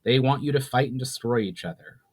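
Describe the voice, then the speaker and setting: A man's voice: medium pitch, a little fast, lightly acted. A man voicing a courteous, earnest elf envoy with formal wording in a fantasy story. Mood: earnest warning.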